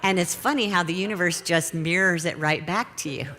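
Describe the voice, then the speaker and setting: A middle-aged woman delivers a sermon from a church pulpit, her voice pitched low. She speaks gently and without hurry, drawing out key phrases to let them land. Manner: gentle, unhurried, slows for emphasis. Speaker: minister. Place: church sanctuary.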